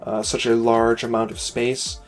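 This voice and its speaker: A man's voice: mid-range voice, monotone, unhurried, soft background music. A tired man who is losing his voice, giving casual, rambling gameplay commentary as he critiques a player-made space station, critical but encouraging. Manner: rambling, with frequent "you know" and "uh" fillers. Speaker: game station reviewer.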